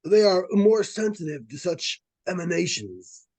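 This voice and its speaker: A solemn, pedantic psychic investigator acted by a man in an old-time radio play. The voice is high and swoops expressively, slowly and precisely, in a dry, close recording. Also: sing-song, darkish tone, dry recording, exaggerated voice. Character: earnest, pedantic doctor, expert in psychic phenomena. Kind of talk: 1940s radio drama acting.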